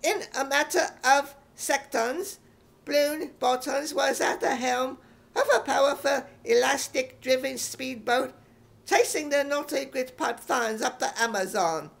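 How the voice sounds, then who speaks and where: A man's voice: extremely high pitch, unhurried, vocal fry, a bit bright, full character voice. A man voicing a naive, boastful schoolboy in a British accent, narrating his own adventures melodramatically in a radio comedy. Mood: eager, melodramatic. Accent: imitated British. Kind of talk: 1950s radio comedy acting.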